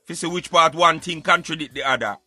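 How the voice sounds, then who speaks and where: A man's voice: fairly high pitch, quick pace, pushed and bright, dry recording, faint music behind. A Jamaican man giving emphatic, opinionated social commentary in Patois, like a street philosopher preaching on a radio show.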